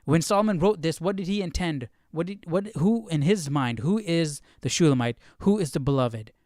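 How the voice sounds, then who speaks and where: A man's voice: fairly high pitch, lively intonation, normal speed, darkish tone, dry recording, studio quality. A man hosting a live Bible study, thinking aloud in casual commentary and puzzling through questions as he goes.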